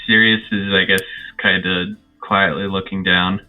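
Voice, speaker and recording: A man's voice: deep voice, flat delivery, a little slow. A man playing a tabletop game, tentatively narrating his character in casual talk. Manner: hesitant, tentative. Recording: phone-like thin audio, faint music. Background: faint music.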